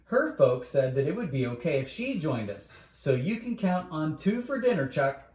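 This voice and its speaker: Brash, chatty storybook girl played by a male reader, breezy and pushy, raised pitch, roomy echo. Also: sing-song, moderate pace, a little mellow. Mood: upbeat, pushy. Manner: chatty, breezy, talks over others.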